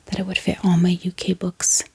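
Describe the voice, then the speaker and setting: A woman speaking in a hushed, breathy whisper, gently explaining things in an ASMR style. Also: on the low side, completely flat delivery. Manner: soft, hushed, unhurried explaining. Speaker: ASMR book haul host. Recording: clear background hiss, handling clicks.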